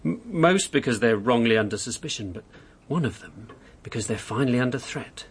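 An actor in a radio drama playing a bored, mischievous housebound husband, candid and needling in manner. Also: male voice, medium pitch, animated, fairly quick, slightly rough. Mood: conspiratorial.

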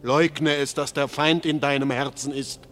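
A man's voice: fairly high pitch, fairly even intonation, measured pace, fairly bright tone. An actor playing a stern, pious, accusing father, declaiming solemnly in a classical verse radio drama. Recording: noisy recording with ambience.

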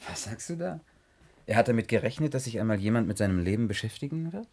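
Persistent interrogator guy, lowish voice, talks fast, old sci-fi radio play. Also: expressive, darkish tone. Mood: attentive, courteous. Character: probing male biographer John, persistent, calmly authoritative interrogator. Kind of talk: German science-fiction radio play acting.

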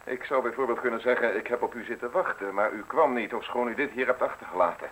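An actor playing a conflicted, polite state prosecutor in a radio play, speaking in a formal, measured, courteous way on a hissy old recording. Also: male voice, mid-range voice, fairly bright tone, natural voice, rough recording. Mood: urgent, persuasive. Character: conflicted male state prosecutor, polite, evasive family man. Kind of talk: Dutch radio play acting.

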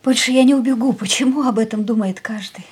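A fragile, restless young woman in a radio play, her voice husky and set fairly high. She speaks softly, often dropping to a hushed near-whisper. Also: fast talker. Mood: weary, quiet. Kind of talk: radio drama acting.

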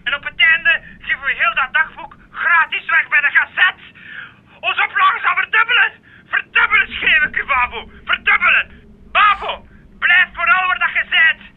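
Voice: very high voice, animated, moderate pace, phone-quality sound. An actor playing a blustering, foul-mouthed newspaper editor who rants theatrically in a comic radio drama. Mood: excited scheming. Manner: ranting, swearing, theatrical exclamations.

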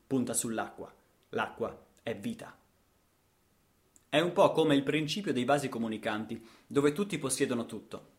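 A man performing a dramatic radio monologue, rhetorical and emphatic, with deliberate pauses and repetitions. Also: mid-range voice. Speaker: actor and narrator.

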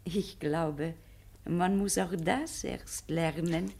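Slow-talking coquettish madame. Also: female voice, lowish voice, fairly even intonation, darkish tone. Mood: coy. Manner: theatrical, teasing, genteel with French affectations. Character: coquettish, self-assured demimonde madame under arrest. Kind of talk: scripted German radio play acting.

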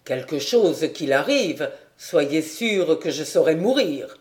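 A woman's voice: low-pitched, expressive, slow pace, darkish tone. A woman voicing an earnest, resolute young nobleman who declares his feelings in a storybook reading.

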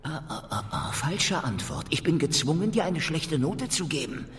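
A man's voice: fairly high pitch, sing-song, normal speed, fairly bright tone, breathy edge, heavily acted. A man playing a cynical, menacing interrogator in a Soviet-era thriller radio drama, taunting and needling with his questions. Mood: sardonic, pressing.

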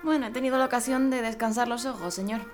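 An actress playing a polite, deferential soldier at a Republican command post in a radio drama. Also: female voice, a little high, fast, faint music behind, close to an everyday voice.

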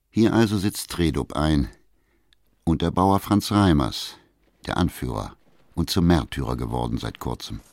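A male narrator reading a radio drama in a measured, sober way. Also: rumbling low, a little mellow, no echo, studio quality. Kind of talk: scripted narration.

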